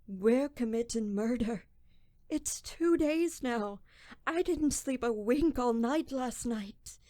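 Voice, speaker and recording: A woman's voice: on the high side, mellow, no room sound. A woman playing a guilt-ridden, tormented young thief in a radio drama, acting scenes and narrating in the first person. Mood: troubled. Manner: tormented, brooding, deliberate.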